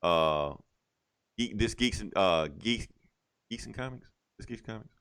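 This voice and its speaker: A man with a fairly low voice chats loosely as a podcast host. His pitch jumps around a lot, and he halts to think aloud in a very dry recording. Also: lively intonation, a little slow, a bit bright, smooth, no echo. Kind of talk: casual chat, show sign-off.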